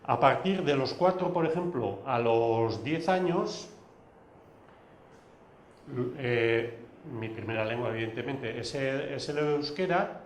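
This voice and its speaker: A man whose first language is Basque, giving a recorded video lecture, pausing often with fillers and restarts. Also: medium pitch, echoey room, slight background noise. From Basque Country. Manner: hesitant, many fillers and restarts.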